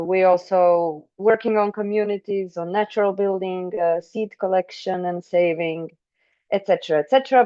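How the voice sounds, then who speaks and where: A woman's voice: on the low side, no echo. A woman from Croatia introducing her project on an online webinar, hesitant and full of filler sounds. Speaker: representative of a national hub. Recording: dry, dull lo-fi call audio.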